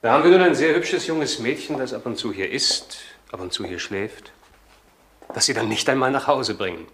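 An actor playing a calm, probing police detective in a German crime radio drama, matter-of-fact and persistent. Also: male voice, mid-range voice, normal speed, a little hiss or hum, natural voice. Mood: pointed, persistent. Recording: roomy, echoing interior sound.

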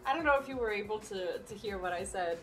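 A woman's voice: mid-range voice, expressive, quick pace, recorded from a distance, faint music behind. A young woman from Serbia chatting casually with her live-stream chat and her dog while playing a game at home.

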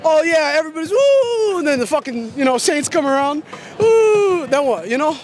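Young man, very high voice, furious profane rant, street interview, handheld mic. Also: sing-song, normal speed, a crowd in the background. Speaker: fan interviewed after a game. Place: city street at night outdoors. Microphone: handheld mic held by interviewer.

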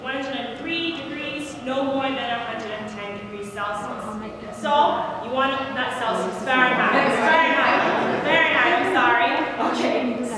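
A young woman giving a live health demonstration, speaking loudly through a handheld microphone and putting questions to the audience. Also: sits high, noisy background. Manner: projecting, quizzing the audience. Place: large church hall. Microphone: handheld microphone, far camera. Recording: very echoey hall, from far off.

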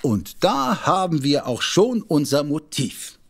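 A man playing a knowledgeable gentleman who explains things in a radio drama. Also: a little high, very animated, slow pace, vocal fry, full character voice. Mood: explaining.

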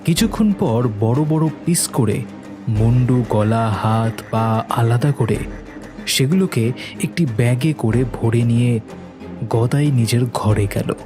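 Slow-paced male horror story narrator. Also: mid-range voice. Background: background music.

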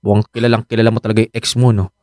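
An actor playing a teasing, suspicious husband in a radio drama, with a Filipino accent. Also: male voice, lowish voice, level tone, quick pace, a little mellow, no room sound, studio quality, lightly acted.

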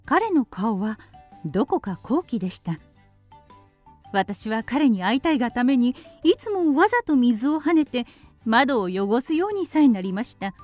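A high, lively female voice as an earnest little canary in a radio play. She tells her story politely and wistfully, with wide swings of pitch. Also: unhurried, faint music behind. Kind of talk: audio drama storytelling.

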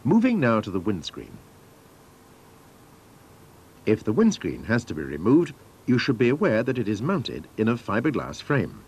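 A man's voice: lowish voice, wildly swooping pitch, moderate pace, long pauses, a little mellow, breathy edge. A man narrating scripted instructions for a training film in a formal, methodical manner.

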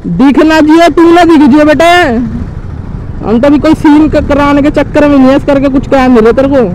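High-voiced young man on a motorbike. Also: fairly even intonation, brisk, a little mellow, poor audio. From India. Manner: agitated, keeps calling viewers to look. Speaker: motovlogger. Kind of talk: excited riding commentary. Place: outdoors on a city road, riding a motorbike. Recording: faint traffic noise outdoors.

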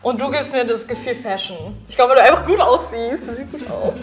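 A woman in her twenties chatting casually as a contestant in a bright studio, thoughtful and easygoing. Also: a little high, animated, fairly quick, soft background music. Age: 20s. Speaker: guessing contestant. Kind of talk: casual chat.

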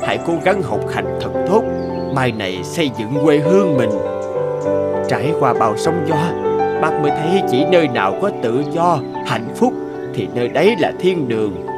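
A man playing a regretful, gentle middle-aged tea farmer who once migrated, reflective and advising as in an animated moral tale. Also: mid-range voice, moderate pace, close to an everyday voice. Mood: kindly advising. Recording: loud music behind the voice.

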